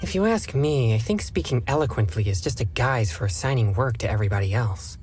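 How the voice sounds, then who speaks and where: A man's voice: mid-range voice, animated, a little fast, a little mellow, slight background noise, close to an everyday voice. A man voicing an earnest, put-upon young exorcist in a game cutscene, grumbling and reluctant.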